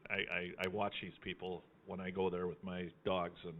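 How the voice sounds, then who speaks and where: A man's voice: low-pitched, flat delivery, moderate pace, creaky, a little hiss or hum. A man chatting casually as host of a live fundraiser, in a folksy, teasing way. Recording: thin phone-like sound.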